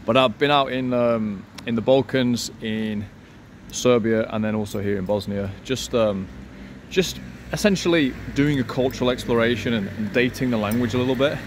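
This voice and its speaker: A man's voice: mid-range voice, normal speed, slight background noise. A man in his thirties, a language teacher, talking in a playful, self-assured way in a casual vlog recorded outdoors. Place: outdoors in a city street, by a wall.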